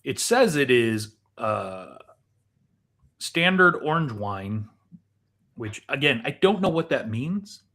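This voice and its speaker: A man's voice: mid-range voice, big pitch swings, takes it slowly, pushed and bright. A man co-hosting a show in casual chat as he tries snacks and drinks.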